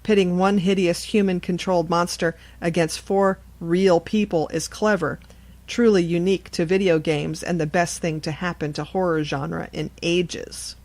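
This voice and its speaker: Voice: medium pitch, fairly even intonation, moderate pace, a little mellow, no room sound. Dry, close scripted podcast reader. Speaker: podcast presenter. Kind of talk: scripted article read aloud about games.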